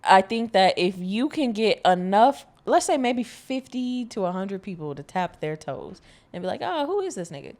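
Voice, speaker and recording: A woman's voice: medium pitch, big pitch swings, normal speed, a little mellow. A woman speaking as a podcast panelist in casual chat, candid and informal.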